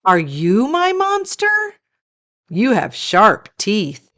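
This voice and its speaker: A woman reading a picture book aloud to children as a storytime host, in a deliberate storyteller's delivery. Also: mid-range voice, huge pitch swings, fairly bright tone.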